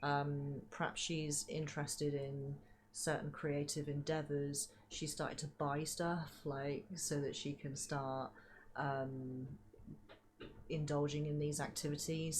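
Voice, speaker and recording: A woman giving a casual tarot reading, chatty with many fillers, her voice a little hoarse as she loses it. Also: deep voice, darkish tone, slight background noise. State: losing her voice. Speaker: tarot reader.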